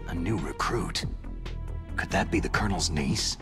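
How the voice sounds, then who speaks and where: An actor in a video game playing a tough, terse soldier on a rescue mission, with a gravelly growl and a stern manner. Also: male voice, sits low, normal speed, music in the background. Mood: probing. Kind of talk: dramatic video game voice acting.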